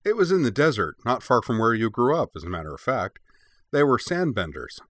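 Man as an easygoing, teasing old guy, bright voice. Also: mid-range voice, very animated, a little fast, no room sound, voice pushed up. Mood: grinning, teasing.

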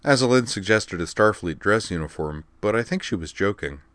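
A man's voice: fairly low pitch, animated, brisk, a bit bright, dry recording. A man voicing an eager, earnest young woman student in an audiobook, talking in a chatty, slightly sheepish way. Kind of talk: audiobook character voice.

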